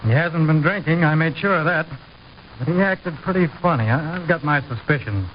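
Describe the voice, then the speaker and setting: Man acting easygoing wary officer, lightish high pitch, conversational, radio play, muffled noisy recording. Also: a little fast, close to an everyday voice. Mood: friendly, puzzled. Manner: plain, conversational, questioning. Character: wary, easygoing male border patrol officer. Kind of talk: old radio drama acting. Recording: dull, faintly noisy vintage radio recording.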